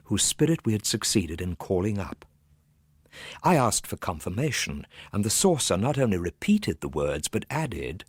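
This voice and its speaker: Husky, haunted Victorian gentleman. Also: low voice, no echo, studio quality, full character voice. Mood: gloomy, brooding. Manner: theatrical, hushed dread with sudden swells. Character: nervous, gloomy Victorian gentleman recounting his ghostly terror. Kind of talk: dramatic radio ghost-story monologue.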